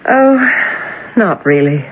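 A woman's voice: low voice, sing-song, slow pace, heavily acted. An actress playing an upset young woman engaged to the son, speaking nervously and hesitantly in a 1970s radio drama. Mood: anxious. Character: young anxious woman, fiancée, upset and secretive. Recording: muffled old radio broadcast with faint hiss.

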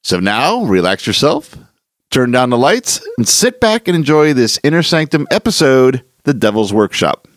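A man's voice: medium pitch, big pitch swings, moderate pace, dry recording, clean studio sound. A man hosting a podcast, reading a scripted introduction. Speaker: podcast host. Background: music.

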